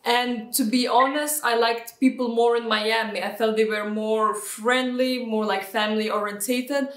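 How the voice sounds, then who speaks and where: A woman's voice: a little high, steady tone, a little slow. A woman from Lithuania with a Lithuanian accent, chatting as an interview guest with frequent fillers and restarts. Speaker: guest, real estate agent. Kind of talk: casual interview answers. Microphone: video call. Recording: roomy, distant video-call audio.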